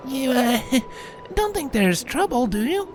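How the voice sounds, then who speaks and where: A woman's voice: high-pitched, slow pace, faint music behind, full character voice. A woman voicing a young pegasus filly, a cheeky, girlish little-sister type in story dialogue. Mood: curious. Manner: deadpan, dismissive, cheeky. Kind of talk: animated cartoon-style story dialogue.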